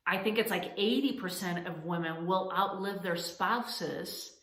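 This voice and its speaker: A woman from Spain talks straight to camera in an earnest, encouraging way, with a fairly low voice for a woman. Her sentences are full of small restarts and fillers as she works out what to say. The room around her is echoey. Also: unhurried, a bit bright. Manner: earnest, encouraging, addresses women as ladies. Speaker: founder of a coaching channel. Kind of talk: direct-to-camera advice talk.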